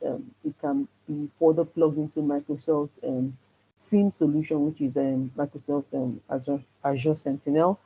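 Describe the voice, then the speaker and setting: A man who says he has a loud voice teaches a security class on a video call, hesitating often with ums and restarts. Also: on the high side, breathy edge. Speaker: security trainer. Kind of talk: online class presentation. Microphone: computer mic on a video call. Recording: dull lo-fi video call audio.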